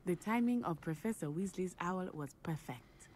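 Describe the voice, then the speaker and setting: A woman's voice: fairly low pitch, lively intonation, normal speed, a little mellow, slight background noise, natural voice. An actress playing a friendly, adventurous teenage witch in a video game, chatty and precise in her wording. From Africa.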